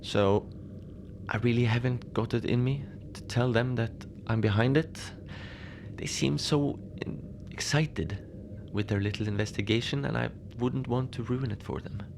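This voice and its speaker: A man playing a mild, unbothered middle-aged station manager in a cozy audio drama, confiding in a halting, rambling, understated way. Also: medium pitch, vocal fry, noisy background. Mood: musing, mild. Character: mild, unbothered lone Antarctic night-shift station manager. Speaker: podcast fiction narrator, lone facility manager on radio.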